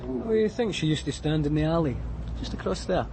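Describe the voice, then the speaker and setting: Cop asking short questions, lively pitch, car and street noise. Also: male voice, brisk, vocal fry. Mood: probing. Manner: terse, questioning. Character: patient, terse male police detective questioning witnesses. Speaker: police detective. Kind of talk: radio crime drama acting. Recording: noisy street, car background.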